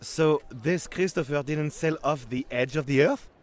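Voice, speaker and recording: A naive young Frenchman from medieval times played by a male actor in an audiobook adventure. His voice sits on the high side for a man, baffled and skeptical in manner. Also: unhurried, lightly acted. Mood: baffled wonder. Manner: incredulous.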